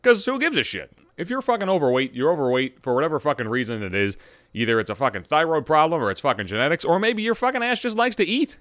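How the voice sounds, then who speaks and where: A man hosting his own podcast, ranting loudly with heavy swearing after just getting over a cold. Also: a little high, big pitch swings, fast talker, no room sound, studio quality. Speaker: podcast host. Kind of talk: profane comedic rant.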